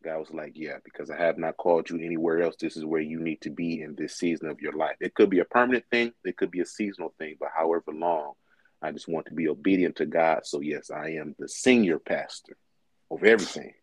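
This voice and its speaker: Deep-voiced young man pastor. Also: fast talker, breathy edge, no room sound. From Michigan. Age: 20s. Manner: relaxed, conversational, with frequent fillers. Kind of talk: casual interview conversation.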